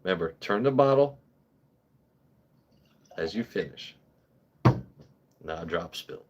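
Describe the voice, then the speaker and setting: A man's voice: sits low, expressive, slow pace, dull audio, a little hiss or hum. A man in his thirties hosting a casual livestream, talking bluntly with lots of swearing and rambling asides. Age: 30s. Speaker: whiskey channel host.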